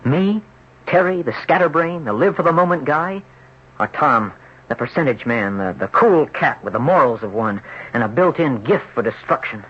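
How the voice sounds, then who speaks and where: A troubled, jealous man confesses his strange story with dramatic, lively swings of pitch. The sound is a dull, noisy vintage radio drama recording. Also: normal speed, husky. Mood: anguished. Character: anguished, jealous ex-surfer haunted inside a rich man's body. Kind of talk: old-time radio drama acting.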